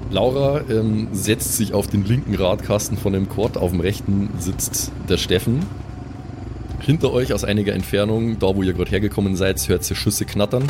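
Lowish-voiced game master. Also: male voice, moderate pace, background noise. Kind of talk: improvised narration of a pen-and-paper audio play. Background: music and outdoor ambience.

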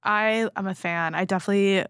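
A woman's voice: medium pitch, steady tone, brisk, a bit bright, clear, resonant tone, dry recording, clean studio sound. A woman co-hosting a casual food chat, explaining things conversationally with descriptive detail.

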